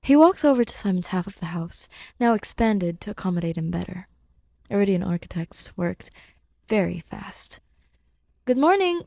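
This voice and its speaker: A woman's voice: fairly low pitch, normal speed, gravelly, warm tone, dry recording. A woman reading scripted fiction expressively as an audiobook narrator, close to the microphone. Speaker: podfic narrator.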